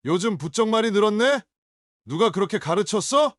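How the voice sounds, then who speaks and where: A man acting as a violent grown son, audio drama, hostile and contemptuous, high male pitch, dry close sound. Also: animated, normal speed, a bit bright, no room sound. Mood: raging. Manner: sneering, hostile and confrontational.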